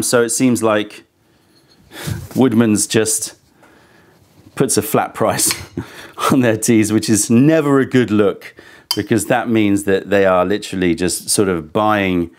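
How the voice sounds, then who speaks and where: Man, thirties, tea reviewer, candid, thoughtful, mildly critical, lapel mic, indoor studio. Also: lowish voice. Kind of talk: casual on-camera tea tasting review. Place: indoor studio set with sofa.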